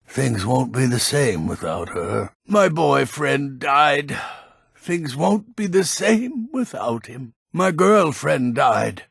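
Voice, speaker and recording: A gruff old fantasy game villager, selling potions like a true curmudgeon. His voice is heavily gravelled and very husky. He takes his time over the words, at an unhurried pace. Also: mid-range voice, big pitch swings, a bit bright, no room sound, clean studio sound, full character voice. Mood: mournful. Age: older. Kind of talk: video game character lines.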